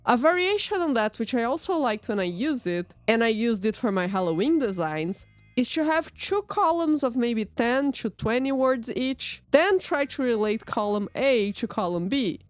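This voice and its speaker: A woman whose first language is Portuguese narrates a drawing video in a relaxed, wry and self-deprecating voice-over. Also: on the high side, normal speed, few pauses, no room sound, soft background music. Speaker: artist and channel host.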